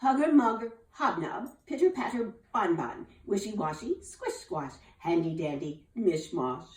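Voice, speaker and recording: A woman voicing a calm, knowing female school nurse with a measured, matter-of-fact manner. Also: mid-range voice, far from the mic, lightly acted. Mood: chanting. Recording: roomy, from off the mic.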